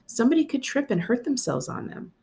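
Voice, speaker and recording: A woman's voice: on the high side, big pitch swings, quick pace, gravelly, mellow, voice pushed up. A woman voicing a practical mother who scolds in a firm way, in a storybook reading. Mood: scolding. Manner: firm, admonishing.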